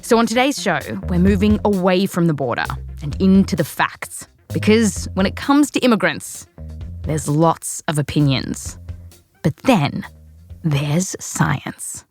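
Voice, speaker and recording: A woman's voice: lowish voice, lively intonation, measured pace, a little mellow, soft background music. An Australian woman hosting a science podcast in a scripted narration with playful, jokey asides. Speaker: podcast host.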